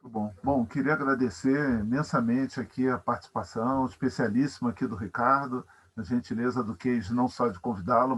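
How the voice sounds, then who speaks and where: A man's voice: mid-range voice, husky. A man with a Brazilian accent hosting a webinar, speaking politely and formally as he gives thanks. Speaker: webinar host. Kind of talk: courteous closing remarks. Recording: dull, lo-fi online call audio.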